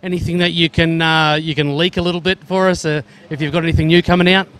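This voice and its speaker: A man's voice: on the high side, level tone, fast, fairly bright tone, clean tone. A man in his thirties with an Australian accent, interviewing exhibitors at an indoor trade show on a handheld mic in a casual, friendly way. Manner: friendly, chatty, Aussie slang like mate. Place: indoor expo hall, busy.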